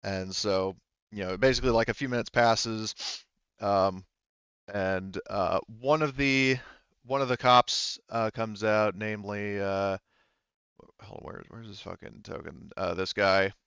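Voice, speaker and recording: A man narrating a tabletop game as game master in a casual, improvised way, rambling and halting. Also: lowish voice, steady tone, fairly bright tone, smooth, no room sound, dull audio.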